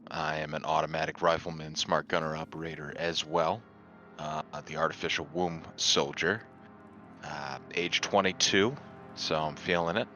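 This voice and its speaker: A man's voice: a bass voice, steady tone, moderate pace, bright, forceful tone, lo-fi sound, soft background music. A man playing a tabletop game, casually introducing the character he will play.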